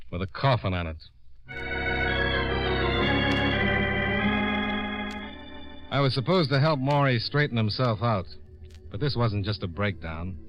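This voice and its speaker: Actor as hard-boiled investigator, muffled vintage radio. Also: male voice, fairly low pitch, a bit bright, close to an everyday voice. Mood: wry, world-weary. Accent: American. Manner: clipped, dry, cynical. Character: hard-boiled, sardonic male insurance investigator. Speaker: lead actor and narrator in a radio detective drama. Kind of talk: 1950s radio noir acting and narration.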